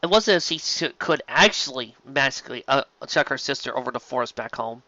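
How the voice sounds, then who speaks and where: Bright, lively fiction narrator, dry sound. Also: medium pitch, fairly quick, dry recording, highs cut off. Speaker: fan fiction audiobook narrator. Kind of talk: expressive scripted storybook narration.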